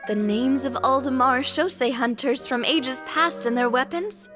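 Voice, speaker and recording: A woman's voice: high voice, normal speed, over music, natural voice. An actress playing a spirited, playful, self-assured young woman adventurer in a game. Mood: playfully proud. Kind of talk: scripted game character dialogue.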